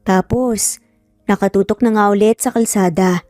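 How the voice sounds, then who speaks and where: A woman's voice: mid-range voice, steady tone, normal speed, warm tone, no echo, clean studio sound, soft background music. A woman with a Filipino accent telling a story in scripted, dramatic narration.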